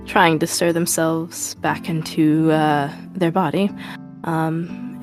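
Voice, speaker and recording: A woman's voice: mid-range voice, gravelly. A woman playing a tabletop game, improvising narration of a character in a hesitant, reflective way. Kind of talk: improvised third-person roleplay narration.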